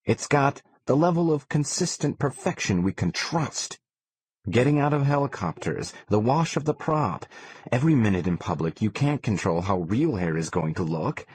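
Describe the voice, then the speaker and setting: A man's voice: mid-range voice, very animated, moderate pace, darkish tone, slightly rough, voice pushed up. A man voicing a pushy, slick publicity agent in an audiobook, emphatic like a salesman. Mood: insistent pitch. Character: pushy, slick male publicity agent, yelling his ideas.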